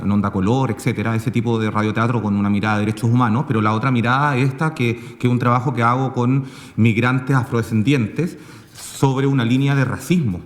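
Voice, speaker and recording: Echoey man speaking on a panel. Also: fairly low pitch. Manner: halting, filler-heavy phrasing with repeated "digamos". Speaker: panelist, radio project maker. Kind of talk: spontaneous panel talk. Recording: echoey hall with long reverb.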